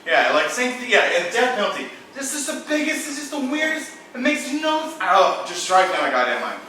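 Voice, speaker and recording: A man's voice: high-pitched, wildly swooping pitch, brisk. A young man telling a rambling, profane story between drinks with friends in a casual chat. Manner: rambling, profane, tipsy, laughing asides. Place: room indoors with friends. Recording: very echoey room, mic far off, faint noise.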